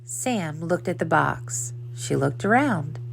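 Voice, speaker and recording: A woman reading a children's story aloud in a simple, deliberate way for young listeners. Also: low voice, animated, creaky, slight background noise, rough recording. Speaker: storybook reader. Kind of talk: children's read-aloud narration.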